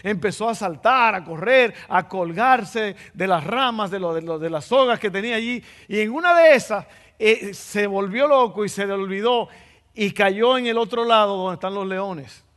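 High-voiced Dominican preacher in a hall. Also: male voice, moderate pace, a bit bright. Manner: playful, repeats phrases for emphasis. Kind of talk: sermon with jokes.

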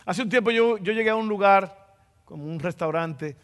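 A man with a Dominican accent gives a joking, playful sermon and keeps circling back to his phrases. His voice sits high for a man. It rings through a church hall with a long reverb. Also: moderate pace, fairly bright tone. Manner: playful, repeats phrases for emphasis. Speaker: preacher. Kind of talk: sermon with jokes.

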